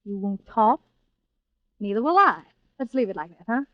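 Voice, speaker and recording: An actress playing a guarded, tough nightclub singer in an old radio thriller, with terse, unsentimental delivery. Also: female voice, mid-range voice, sing-song, normal speed, no echo, lightly acted. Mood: defiant. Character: cool, guarded, hard-bitten nightclub singer, a woman. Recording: dull, lo-fi vintage radio recording.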